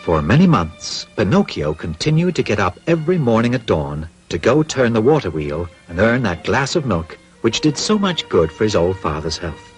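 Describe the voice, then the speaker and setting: A man's voice: on the low side, very animated, normal speed, hardly any pauses, soft background music, low-quality recording. A man reading scripted narration for a children's cartoon as its storyteller.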